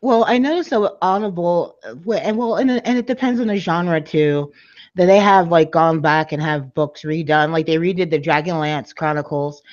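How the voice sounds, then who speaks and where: A woman with a lowish voice talks loosely and casually, stringing her thoughts together with plenty of "like" and "you know". The sound is dull and lo-fi, like a cheap live-stream mic. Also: moderate pace, darkish tone, dry recording. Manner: rambling, heavy use of "like" and "you know". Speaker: podcast co-host. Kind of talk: casual chat about audiobooks.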